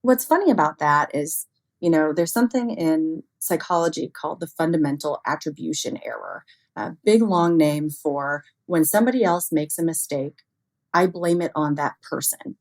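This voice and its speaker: Female interview guest, low voice, informal and conversational, explains like an expert. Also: expressive, moderate pace, darkish tone. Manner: frequently chuckles, informal, illustrates with acted-out quotes.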